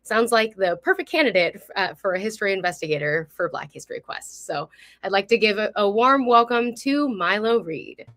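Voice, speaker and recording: A woman's voice: medium pitch, lively intonation, moderate pace, fairly bright tone. A woman presenting as the host of a history program, reading a scripted introduction in a welcoming, upbeat manner on a live online stream.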